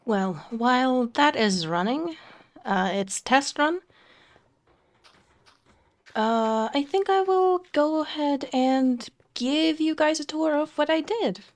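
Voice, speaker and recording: A young woman from Finland, chatting casually with fillers and soft laughs while touring her game build. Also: high-pitched, takes it slowly. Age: young adult. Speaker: Minecraft let's play creator. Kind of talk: casual gameplay commentary.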